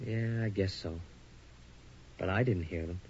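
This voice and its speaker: Jittery young college guy, slow halting talk, mellow voice, old radio sound. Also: low-pitched, slightly rough, heavily acted. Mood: uneasy. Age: young adult. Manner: hesitant, stammering, growing frantic. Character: anxious young male student. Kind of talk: radio drama acting. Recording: dull old radio broadcast.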